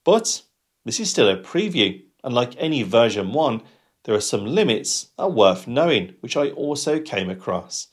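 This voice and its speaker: A man in his forties presents a software tutorial to the viewer. His pitch sits in the middle and moves up and down a great deal as he explains. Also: sing-song, moderate pace, husky. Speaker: tutorial host.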